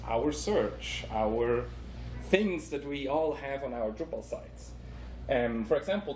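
A man's voice: mid-range voice, darkish tone. A man from Switzerland with a Swiss accent, presenting a conference talk and live demo with many ums, explaining step by step. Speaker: conference speaker. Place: conference room. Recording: echoey room with faint noise.

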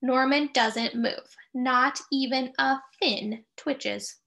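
A middle-aged woman reading a picture book aloud to young children, expressive and sing-song, pausing to put questions to her listeners. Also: sits high, vocal fry. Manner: expressive, sing-song, with drawn-out key phrases and dramatic gasps.

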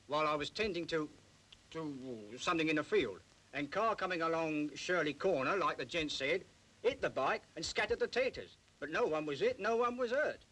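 Grumpy country labourer, sharp voice, old 40s film. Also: male voice, a little high, animated, normal speed, low-quality recording. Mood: grudging, defensive. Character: grumbling, defensive male country labourer. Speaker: actor in a film. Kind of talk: 1940s British film acting.

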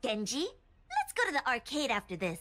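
An actress playing a cocky, playful young Korean mech pilot and gamer, cheeky and teasing. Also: female voice, fairly high pitch, huge pitch swings, normal speed, a bit bright. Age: young adult.

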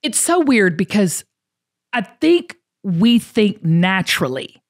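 A woman's voice: medium pitch, big pitch swings, takes it slowly. A woman with a Southern US accent hosting a motivational talk podcast, emphatic and folksy, repeating phrases to drive them home. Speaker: show host.

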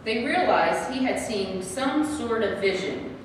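A woman's voice: a little high, expressive, a little slow. A woman reading scripted scripture narration as the narrator of a church drama. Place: large church sanctuary. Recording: echoey church from far off, faint noise.

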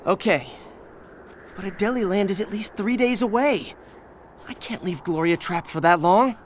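A man voicing an earnest, eager young penguin father in an animated video game. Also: high-pitched, moderate pace, slight background noise, natural voice. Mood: determined. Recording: music behind the voice.

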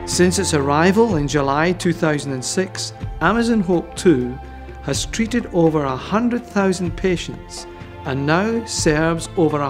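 Measured male voice-over over music. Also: on the high side. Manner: measured, informative. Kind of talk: scripted voice-over narration.

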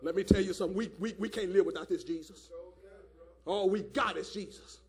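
A man a fiery call-and-response sermon, emphatic and repetitive, often rising to a shout, with a gravelly voice. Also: high voice, fairly quick. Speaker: preacher.

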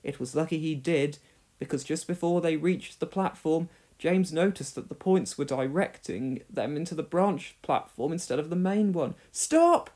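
A man's voice: on the high side, normal speed, mellow. A man reading a children's storybook aloud as a scripted narration. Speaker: story reader.

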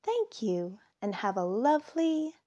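A woman reading a children's picture book aloud, with deliberate phrasing and expressive emphasis. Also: on the high side, muffled recording. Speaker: storybook reader.

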